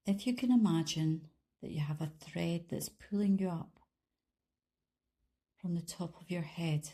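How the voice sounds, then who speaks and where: A woman leading a guided body scan meditation in a calm, soothing, unhurried manner, leaving long pauses between instructions. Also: lowish voice. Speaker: meditation guide, life coach.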